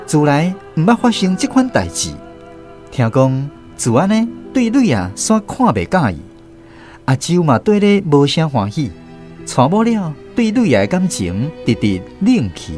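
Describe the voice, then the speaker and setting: A man's voice: a little high, sing-song, measured pace, music in the background. A man with a Taiwanese accent narrates a radio drama as a first-person storyteller.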